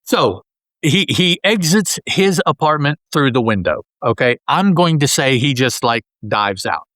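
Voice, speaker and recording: A man's voice: mid-range voice, sing-song, a little slow, a bit bright, dry recording, clean studio sound. A man co-hosting a podcast, describing comic panels in a casual, rambling way with many restarts. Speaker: podcast co-host. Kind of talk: casual comic-book discussion.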